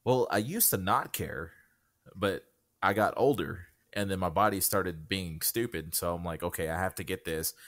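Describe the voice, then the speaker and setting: Deep-voiced man podcast co-host. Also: normal speed, a bit bright. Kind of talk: casual chat.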